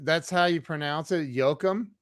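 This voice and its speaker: A man's voice: on the high side, moderate pace. A man hosting a casual live interview, reacting with short, amazed interjections. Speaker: podcast host interviewing a guest.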